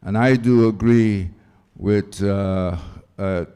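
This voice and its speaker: A man introducing himself at a roundtable as a community organization leader, speaking in an earnest, deliberate way. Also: fairly low pitch, steady tone, dark tone, clean tone.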